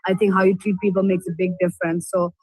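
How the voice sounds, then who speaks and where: A woman's voice: lowish voice, flat delivery, quick pace, darkish tone, no echo, low-quality recording. A young woman, giving a personal testimonial as a graduate who studied abroad. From India. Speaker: business graduate, study-abroad alumna.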